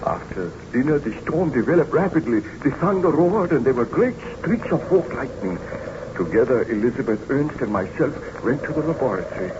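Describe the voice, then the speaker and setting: An actor in an old-time radio drama playing an obsessed, ambitious young scientist, speaking in formal, theatrical, grandiose phrases. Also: male voice, medium pitch, animated, normal speed, lightly acted. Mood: solemn, narrating. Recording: muffled, noisy vintage radio recording.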